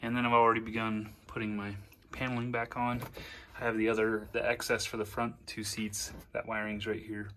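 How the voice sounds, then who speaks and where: A man giving a casual, hands-on how-to walkthrough as an amateur, talking haltingly as he pieces his sentences together while he works. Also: on the low side, monotone, fairly bright tone, a little hiss or hum. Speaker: amateur DIY how-to video maker.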